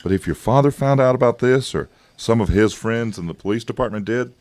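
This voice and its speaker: An actor in a radio drama playing an evasive, persuasive government agent, smooth-talking and patronizing, with hesitations. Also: male voice, medium pitch, fairly quick, darkish tone, no room sound, close to an everyday voice. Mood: guarded, persuasive.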